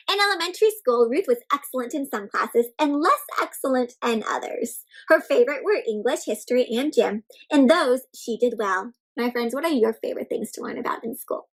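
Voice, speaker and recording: A woman reading a picture book aloud to children, interactive and expressive, stressing words and turning to young listeners with simple questions and explanations. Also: a little high, moderate pace, poor audio. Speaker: children's read-aloud host.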